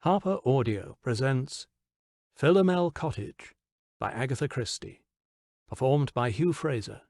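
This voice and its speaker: A man reading a scripted audiobook title announcement in a gravelly voice. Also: medium pitch, expressive, unhurried, a little mellow, dry recording, studio quality.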